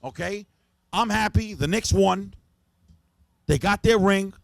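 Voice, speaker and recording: A man's voice: high voice, slow pace, vocal fry, dry recording, studio quality. A man co-hosting a podcast in casual banter, brash and teasing, often slipping into a sing-song voice. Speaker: podcast co-host. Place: podcast studio.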